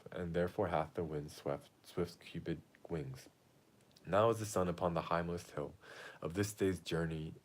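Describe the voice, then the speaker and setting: A man's voice: deep voice, close to an everyday voice. A man reading the part of an impatient, lovesick teenage girl from a Shakespeare play, in a declamatory, pleading way. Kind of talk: amateur read-aloud of Shakespeare play.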